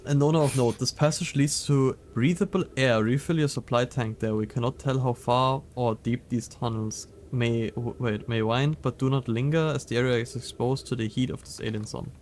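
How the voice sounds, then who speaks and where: A man's voice: medium pitch, steady tone, normal speed, hardly any pauses, a little mellow, slight background noise. A man chatting casually while playing a game on a livestream. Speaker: livestream gamer. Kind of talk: casual game stream chat.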